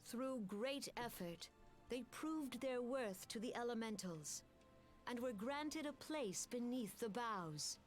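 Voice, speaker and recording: A woman voicing a calm, dignified elder leader in a video game, giving a solemn speech about her nation's history. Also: on the high side, slow pace, faint music behind.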